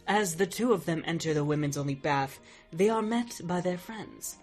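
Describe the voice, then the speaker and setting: A woman narrates in a low, calm voice. Her delivery stays steady and measured. Faint music plays beneath. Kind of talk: scripted narration.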